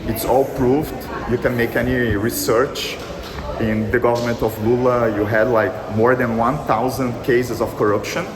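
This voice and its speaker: A Brazilian man from the south answers questions in English as a second language. He speaks at an ordinary mid-range pitch, earnest and halting, with frequent fillers. A loud crowd and a strong echo surround him. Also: steady tone. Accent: Brazilian Portuguese. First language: Portuguese. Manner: hesitant, many fillers and restarts, earnest. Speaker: voter interviewed on the street. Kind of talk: street interview. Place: outdoor polling site in a busy city crowd. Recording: echoey street with loud crowd.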